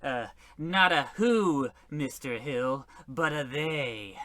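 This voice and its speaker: An actor playing a grandiose, menacing novelist who serves dark gods, in theatrical old radio horror comedy style. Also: male voice, medium pitch, lively intonation, takes it slowly, dry recording, studio quality. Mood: solemn.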